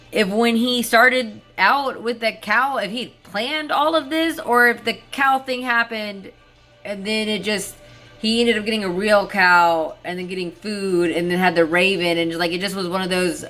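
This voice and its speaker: A woman storyteller who reads fairy tales and comments on them in an informal, rambling, expressive way. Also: medium pitch. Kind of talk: storybook narration with casual chatty commentary. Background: soft ambient background music.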